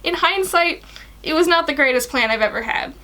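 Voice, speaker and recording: Flustered teenage wizard boy for story reading female reader, defensive, pleading, very high and sharp. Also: animated, a little fast. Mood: whiny. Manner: pleading, protesting.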